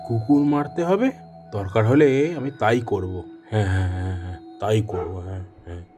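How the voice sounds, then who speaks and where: A man's voice: lowish voice, animated, a little fast, dark tone, soft background music, close to an everyday voice. A man voicing a grumpy, scheming male biryani seller in a Bengali cartoon, grumbling and sly.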